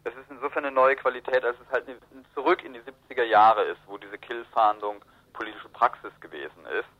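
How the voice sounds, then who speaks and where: A man's voice: mid-range voice, steady tone, brisk. A man giving analytical, discursive political commentary as a guest in a radio interview. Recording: roomy, muffled radio interview.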